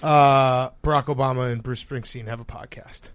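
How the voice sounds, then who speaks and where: A man's voice: mid-range voice, fairly even intonation, moderate pace, smooth, no room sound, studio quality. A man co-hosting a casual sports talk podcast, joking and arguing with plenty of swearing. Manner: argumentative, joking, profane. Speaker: podcast co-host.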